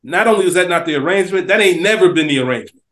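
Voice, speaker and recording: A man's voice: sits high, animated, very fast, fairly bright tone. A man co-hosting a casual podcast, giving blunt opinions. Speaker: podcast co-host. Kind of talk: casual chat.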